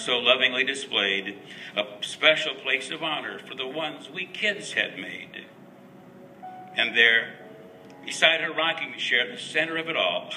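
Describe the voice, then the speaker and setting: A man giving an expressive, dramatic recitation of a rhyming story, with deliberate pauses. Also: a little high. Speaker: reader of a Christmas verse story. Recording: roomy, distant mic with faint noise.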